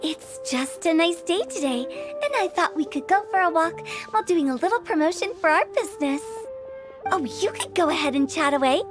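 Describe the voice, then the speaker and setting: A woman's voice: very high voice, lively intonation, a little fast. A woman voicing a mischievous young funeral director who teases and jokes in a theatrical way. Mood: teasing. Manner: teasing, theatrical, fond of wordplay. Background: background music.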